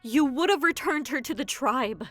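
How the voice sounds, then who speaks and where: A woman's voice: high voice, lively intonation, moderate pace, a bit bright, dry recording, faint music behind. A woman playing a fiercely protective, defiant mother dragon with emotional conviction in an audio drama. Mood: firm, pleading.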